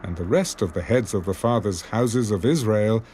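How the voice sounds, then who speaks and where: A man narrating scripture for a dramatised audiobook in solemn, measured phrases. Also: fairly low pitch, a little mellow, slight background noise. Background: music.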